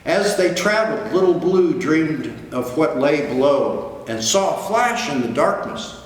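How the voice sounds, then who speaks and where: An older man reading a picture book aloud, pausing to add explanatory asides for listeners. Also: a little high, sing-song. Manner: expository, points out pictures to listeners. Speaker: guest storybook reader. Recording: distant, very echoey hall, faint noise.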